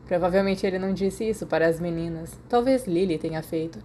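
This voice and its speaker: A woman's voice: fairly low pitch, fairly quick, mellow. Brazilian woman narrating fiction, faint noise. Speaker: audiobook narrator.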